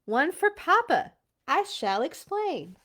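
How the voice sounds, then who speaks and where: A woman's voice: high voice, big pitch swings, slow, a bit bright, pitched above the performer's own voice, exaggerated voice. A woman voicing an encouraging female scout leader in a storybook, speaking ceremoniously and with emphasis. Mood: proud, approving. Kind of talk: animated storybook read-aloud.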